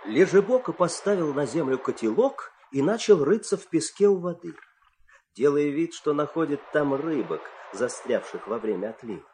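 A man tells a fairy tale in a theatrical, scripted style. His mid-range voice moves widely in pitch, building suspense with deliberate pauses. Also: darkish tone. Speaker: storyteller.

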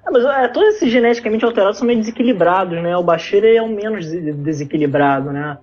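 A man's voice: high-pitched, lively intonation, fast, darkish tone, muffled recording. A middle-aged Brazilian man chatting casually as a podcast guest, slangy and opinionated, often repeating words as he starts. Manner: stammering repetitions, slangy, opinionated. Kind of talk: casual chat.

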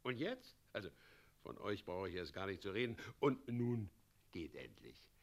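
A man's voice: deep voice, very animated, moderate pace, vocal fry, full character voice. A male actor in a German radio play, playing a pompous, gleefully cruel tyrant mayor with an imperious, mocking delivery. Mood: grumbling, impatient. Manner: imperious, mocking, gloating cackles.

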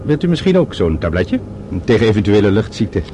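A man acting a smooth-talking, courteous older doctor in a Dutch radio drama, formal and solicitous. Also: lowish voice, sing-song, a little fast. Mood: affable, attentive. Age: middle-aged or older. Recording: old radio drama with clear background noise.

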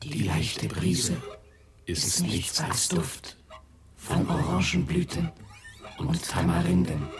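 A man narrating a literary radio play with measured, pointed phrasing. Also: mid-range voice, very animated. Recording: loud music behind the narration. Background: loud music.

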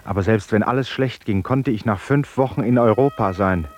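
An actor in a German radio drama playing a sober, determined asylum inmate who speaks and narrates in a measured, deliberate way. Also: male voice, fairly low pitch, darkish tone, faint music behind, close to an everyday voice. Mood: reflective narration.

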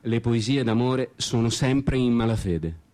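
An actor playing an ironic, lovestruck storyteller in a literary radio play, speaking in deliberate, measured phrases. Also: male voice, mid-range voice, expressive, darkish tone, natural voice. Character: ironic, disenchanted male storyteller, lovestruck drinker in Prague. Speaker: actor and narrator. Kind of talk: literary radio theatre monologue with sung passages. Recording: guitar music behind the voice.

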